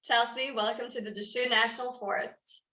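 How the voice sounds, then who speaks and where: A woman acting a firm, bright-voiced meeting chairwoman. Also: on the high side, steady tone. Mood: formal, firm. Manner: measured, procedural, authoritative. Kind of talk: staged reading of a play. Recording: roomy, dull lo-fi sound.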